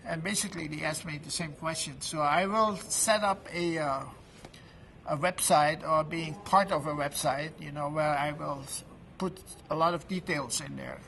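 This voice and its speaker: An older man in his late seventies, his voice on the high side for a man, with a gravelly edge and a bright, forceful tone. He speaks haltingly, breaking off and restarting words and filling pauses with "uh" and "you know." This is an informal testimonial recorded at a trade event. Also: slight background noise. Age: 70s. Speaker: product promoter at an event booth.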